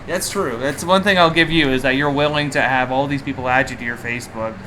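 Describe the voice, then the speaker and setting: A male podcast host with a mid-pitched voice, chatting casually and reacting with animation. He is from Canada. There is clear room noise behind him. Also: fast talker, pushed and bright, poor audio. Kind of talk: casual interview chat.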